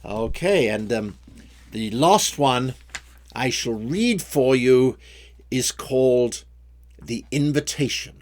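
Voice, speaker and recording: Male poet for story-poem reading: theatrical, unhurried delivery with big melodic swings in pitch. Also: lively intonation. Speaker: poet reading own poems. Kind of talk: expressive recitation of rhyming story poems.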